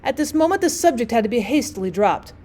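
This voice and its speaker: High-voiced woman narrating a classic story. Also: lively intonation, fast talker, dark tone. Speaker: teacher reading stories aloud. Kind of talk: scripted audiobook narration.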